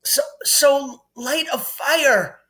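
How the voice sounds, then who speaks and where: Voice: high voice, animated, extremely slow, fairly bright tone, pitched above the performer's own voice, exaggerated voice. A man voicing a brave, practical young boy wizard in an urgent, earnest manner for a storybook. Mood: strained, choking.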